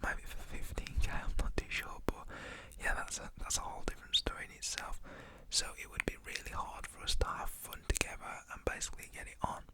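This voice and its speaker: A man whispering a casual, slangy storytime. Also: fairly quick.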